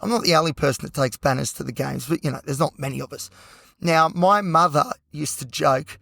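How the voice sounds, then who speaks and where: An Australian man hosting a solo podcast with a hoarse, croaky voice, talking passionately and rambling with lots of fillers. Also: a little high, a little fast, fairly bright tone. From Newcastle, New South Wales, Australia. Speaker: podcast host.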